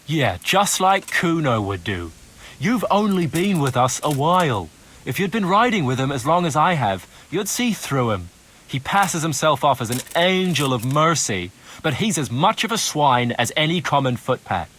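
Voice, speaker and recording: Defiant young captive mercenary, swooping voice. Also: male voice, on the high side, big pitch swings, normal speed, fairly bright tone, slight background noise. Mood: bitter, defiant. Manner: pleading, bitter, defensive. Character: captured young male turncoat mercenary, defiant and resentful. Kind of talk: video game cutscene acting.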